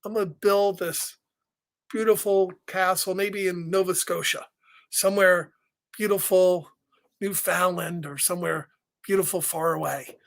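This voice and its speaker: Young picture-book child played by a male reader, sad, gentle and earnest, tentative in confiding. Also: high voice, steady tone, measured pace, vocal fry.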